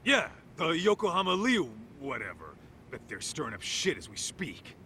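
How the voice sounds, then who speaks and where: A man's voice: on the high side, wildly swooping pitch, normal speed, pushed and bright, slight background noise, full character voice. An actor playing a brash, streetwise male tough guy in a video game, blunt and slangy. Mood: brash, sceptical.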